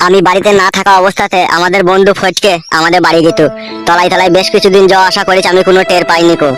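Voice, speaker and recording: A man's voice: sits high, flat delivery, rapid-fire, over music, lightly acted. A man playing an agitated, deferential young village man in a comedy cartoon, speaking urgently with a Bengali accent. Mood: alarmed, pleading.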